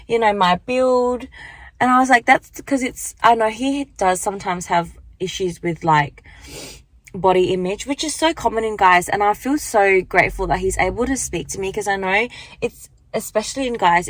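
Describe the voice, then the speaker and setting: Chatty young woman with a croaky morning voice. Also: medium pitch, no room sound. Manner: chatty, rambling, lots of fillers. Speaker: vlogger. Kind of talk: casual chat vlog. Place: car at the beach, then walking outdoors. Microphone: handheld phone.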